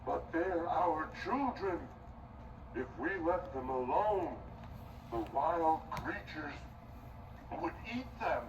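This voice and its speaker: Man playing a slow, meek, worried father. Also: on the high side, sing-song. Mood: alarmed pleading. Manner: hesitant, halting, pleading. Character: meek, worried woodcutter husband and caring father. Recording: echoey, distant, noisy background.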